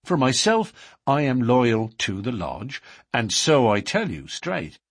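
A man's voice: mid-range voice, very animated, slow, husky, no room sound, studio quality, heavily acted. A man voicing a stern, bold and wary newcomer in an audiobook, firm and blunt in manner. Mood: frank, protesting. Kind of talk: audiobook character dialogue.

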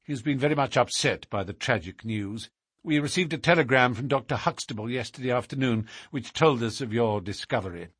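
A man's voice: medium pitch, brisk, fairly bright tone, breathy edge, dry recording, clean studio sound. A man voicing a guarded, obstructive male secretary to a duke in an audiobook, formal and apologetic in manner. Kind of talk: audiobook character voice.

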